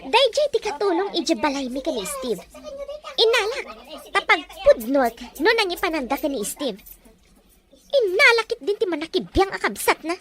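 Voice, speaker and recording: A woman acts out a scheming, cruel mistress in a melodramatic audio drama. She speaks very high with big swings, bossing and mocking. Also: sing-song, normal speed, a bit bright, heavily acted. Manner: domineering, mocking.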